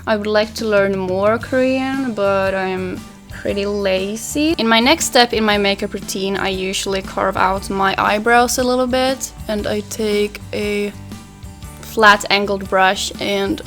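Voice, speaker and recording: Soft-spoken young woman chatting through makeup. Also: mid-range voice, a little slow, faint music behind. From Finland. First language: Swedish. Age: young adult. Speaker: beauty vlogger. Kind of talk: makeup tutorial with Q&A. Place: small pastel bedroom, indoors.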